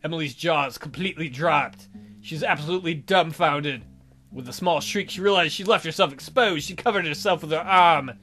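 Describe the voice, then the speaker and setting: A man's voice: fairly high pitch, normal speed, pushed and bright. A man hosting a let's play, reading a visual novel aloud in a theatrical, jokey way. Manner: theatrical, jokey, hammy delivery. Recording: background music behind voice.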